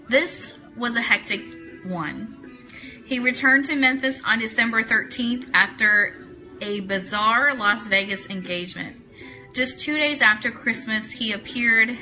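A woman's voice: mid-range voice, poor audio. A woman hosting an online fan radio show, reading a prepared account aloud and greeting viewers.

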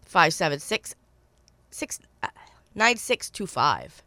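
A woman with a stuffy, congested voice hosts a local call-in radio show. Her voice sits slightly low and is recorded close and dry. She reads out items for sale and repeats phone numbers carefully. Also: huge pitch swings, takes it slowly, bright, forceful tone, no echo. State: stuffy from a cold. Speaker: radio swap-show host. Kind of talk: call-in radio hosting, reading listings.

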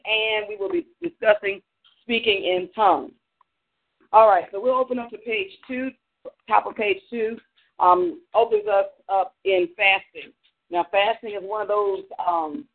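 A man who is congested from allergies, teaching a church prayer class in a loose style with many fillers. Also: high-pitched. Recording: narrow phone-line sound on a talk stream.

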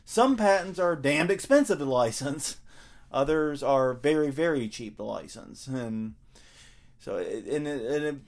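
A man's voice: on the high side, expressive, measured pace. A man co-hosting a casual podcast, talking things over with frequent fillers and self-corrections. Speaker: podcast co-host.

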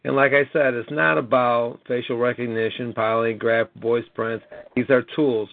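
A man's voice: mid-range voice, level tone, normal speed, gravelly. An American man in his late sixties talking on a conference call in a rambling, earnest way, often punctuating points with an emphatic "okay?". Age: late 60s. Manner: emphatic, repeatedly checking with "okay?". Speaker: caller. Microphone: telephone. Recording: narrow, tinny phone line.

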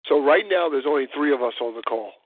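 A man hosting a church call-in roundtable. He sounds hoarse and congested and talks haltingly, with many uhs and restarts. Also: medium pitch, no echo. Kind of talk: casual teleconference discussion. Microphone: phone call. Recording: tinny phone line, dry.

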